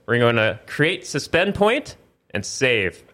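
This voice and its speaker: A man hosting a casual gaming chat with friends, excitable and often exclaiming at the game. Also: medium pitch, takes it slowly. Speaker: podcast host. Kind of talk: casual chat while playing video games with friends.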